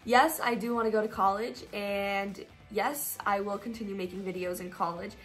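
A woman's voice: medium pitch, fairly even intonation, moderate pace, faint music behind, poor audio. A teenage girl from Colorado answering her viewers' questions in a casual, upbeat, rambling way, with plenty of fillers. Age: teens. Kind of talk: casual Q&A vlog.

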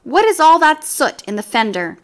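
A woman voicing a brisk neighbour cat who speaks firmly and matter-of-factly. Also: sits high, very animated, a bit bright, pitched above the performer's own voice. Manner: firm, matter-of-fact.

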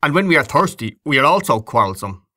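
A man reading an audiobook, voicing a jovial gentleman who announces things like a showman, pleased with himself. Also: mid-range voice, sing-song, fast talker, pitched above the performer's own voice. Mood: jocular. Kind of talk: acted audiobook dialogue.

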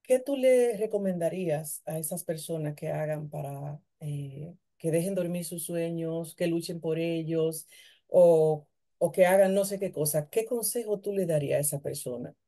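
A woman's voice: low-pitched, normal speed, mellow. A middle-aged woman hosting a conversational interview over a video call. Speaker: interview host.

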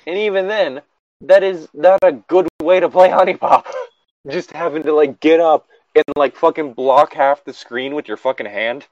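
A man's voice: a little high, moderate pace, a little mellow, no echo, lo-fi sound. A man co-hosting a casual, joking commentary, exasperated and swearing freely, sometimes reading aloud. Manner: exasperated, profane. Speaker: co-host reading and mocking internet horror fiction.

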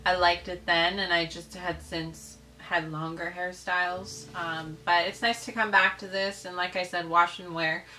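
Bright-voiced woman chatting in echoey room. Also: fairly low pitch, fairly even intonation, measured pace, few pauses. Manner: chatty, explains things for viewers, laughs easily. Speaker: channel host, wife. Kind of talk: casual chat. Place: living room, seated on a couch.

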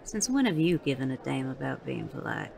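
Tough frontier madam played by a woman, low-pitched, cutting and dry Western drawl, audio Western. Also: wildly swooping pitch, fairly quick, creaky, a little mellow, full character voice. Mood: gruffly fond. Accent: American Western drawl. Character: tough, prickly, foul-mouthed Wild West saloon madam. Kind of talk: audio drama acting.

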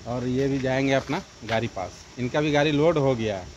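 A man's voice: medium pitch, normal speed, highs cut off, slight background noise. A man from India narrating a casual trucking and cooking vlog step by step, addressing viewers as friends. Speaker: truck driver vlogger.

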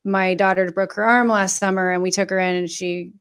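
Woman host on a podcast, chatty and conversational, middle pitch. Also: little pitch movement, brisk, clear, resonant tone. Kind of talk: casual panel chat.

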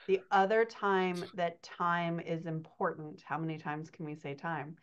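A middle-aged woman coaches in a casual, conversational podcast style. Her voice sits a little low, and the video-call recording sounds slightly dull. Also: fairly even intonation, unhurried, clear, resonant tone. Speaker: dialogue coach, podcast guest host. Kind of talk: casual explanatory podcast chat.

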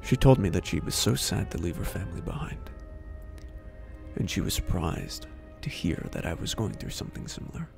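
A man acting in an audio drama as an earnest, awkward, soft-hearted inventor who haltingly searches for words. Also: sits low, expressive, vocal fry, heavily acted. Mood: tender, reflective. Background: music.

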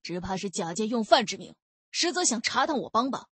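A belligerent young gang boss acted by a woman reading an audiobook, husky and loud. He is blunt and overbearing, a burly brawler who throws his weight around. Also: mid-range voice, very animated, brisk, no echo. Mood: angry, accusing.

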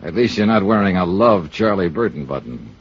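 A sardonic american radio detective, deep-ish and lively, dull old recording. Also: male voice, fairly quick, husky, exaggerated voice. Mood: wry, probing. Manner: wry, clipped, noir-style delivery. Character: sardonic, cool insurance investigator in his prime. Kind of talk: 1950s radio detective acting and narration. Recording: dull vintage radio recording, music behind.

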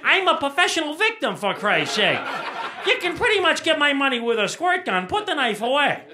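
A middle-aged man doing an energetic, punchy stand-up set on a small TV studio stage, into a handheld mic. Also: extremely high pitch, brisk. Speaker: stand-up comedian. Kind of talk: stand-up routine.

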